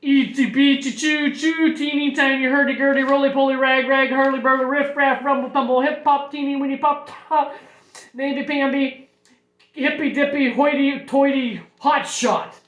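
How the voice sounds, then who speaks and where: A woman's voice: sits high, unhurried, echoey room, pitched above the performer's own voice, poor audio. A woman voicing a fussy, jovial male principal from a children's story, speaking with emphatic bounce. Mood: building energy.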